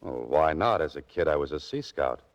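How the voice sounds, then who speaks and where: Very deep-voiced wry writer-sleuth. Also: male voice, lively intonation, moderate pace. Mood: wry, musing. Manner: wry, understated. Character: wry adventurous male writer-sleuth, cool and kindly. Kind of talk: 1940s radio acting. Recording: muffled lo-fi 1940s radio recording.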